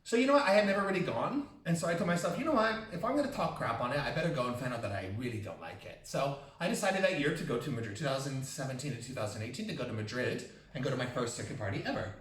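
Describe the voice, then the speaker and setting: A man's voice: mid-range voice, sing-song, very fast, hardly any pauses, fairly bright tone. A man co-hosting a casual chat show, joking, campy and self-mocking as he tells a long story. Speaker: podcast co-host. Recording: roomy, mic at a distance.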